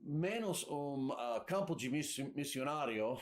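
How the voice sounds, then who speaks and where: A man's voice: medium pitch, expressive, unhurried. A man interpreting a sermon, hesitant and searching for words.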